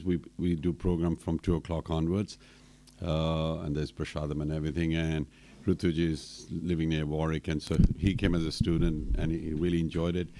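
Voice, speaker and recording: A man's voice: a bass voice, mellow, a little hiss or hum. Older man telling stories to students. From Kenya. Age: 70s. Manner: rambling, anecdotal, many restarts and fillers. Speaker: temple speaker. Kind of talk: informal talk to students.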